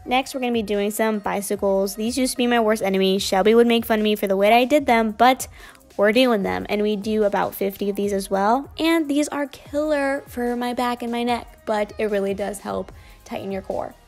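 A woman's voice: medium pitch, fairly quick, darkish tone, soft background music. A young woman narrating her gym workout in a casual, upbeat voice-over. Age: young adult. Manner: casual, chatty, upbeat explaining. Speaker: fitness vlogger.

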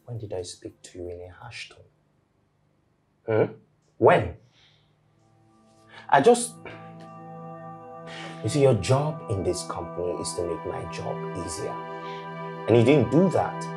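A stern married boss, played by a man with a very low voice scolds an employee in clipped lines. The scene is a TV drama with faint music behind it. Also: normal speed, pauses often, close to an everyday voice. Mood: angry.

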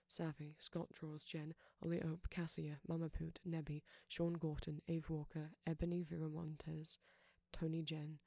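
A woman's voice: low voice, gravelly, dark tone, no room sound. A woman reading out a long list of credits, name after name, as an audio creator thanking supporters.

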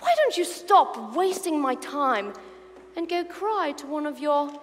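A woman's voice: high-pitched, expressive, unhurried. A woman playing an arrogant, spiteful teenage schoolboy bully with a pompous, sneering drawl in a comedic stage reading. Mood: sneering, imperious. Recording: reverberant hall, clear background noise.

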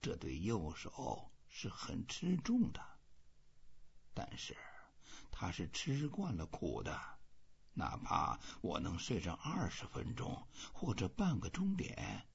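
A man voicing a weary, stoic old fisherman musing slowly to himself in an audiobook. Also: low-pitched, wildly swooping pitch, creaky, slightly rough, exaggerated voice. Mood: calm resolve. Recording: dull, muffled lo-fi audio.

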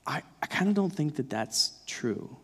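A man's voice: a little high, animated, normal speed, fairly bright tone, slightly rough. A man in his thirties preaching a conversational sermon, emphatic and given to rhetorical questions. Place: large dark church stage, indoors. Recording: reverberant hall sound.

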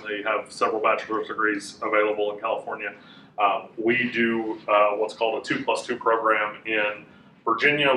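A man in his thirties teaching a class in a casual, chatty way, with a loud voice that carries and many fillers. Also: fairly low pitch, slight background noise. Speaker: Bible teacher. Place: classroom with a whiteboard, indoors. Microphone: room mic from a fixed camera. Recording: echoey room, voice a bit far off.

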